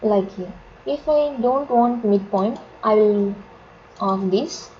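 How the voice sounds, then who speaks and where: A woman software instructor with an Indian accent walks through steps in simple, repetitive phrasing. Her tone is relaxed and mellow. The recording is dull and lo-fi, with faint noise behind her. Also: medium pitch, takes it slowly. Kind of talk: step-by-step software tutorial narration.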